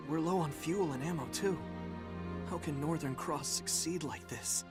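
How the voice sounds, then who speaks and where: Man acting worried serious soldier, bit high voiced, loud music behind. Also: normal speed, natural voice. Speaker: game voice actor. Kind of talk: dramatic game dialogue.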